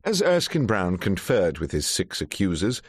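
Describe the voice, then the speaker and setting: A man's voice: low voice, very animated, normal speed, breathy edge, no echo, exaggerated voice. An actor playing a world-weary English barrister who narrates his own story in a dry, droll way for radio. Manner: droll, wry. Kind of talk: first-person radio drama narration.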